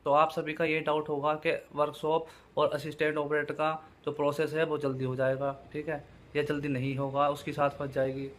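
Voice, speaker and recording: A man's voice: a little high, monotone, fairly quick, fairly bright tone. A man giving an informal explainer to viewers as a channel host, repeating his points with familiar check-in tags. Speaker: YouTube host giving job exam updates.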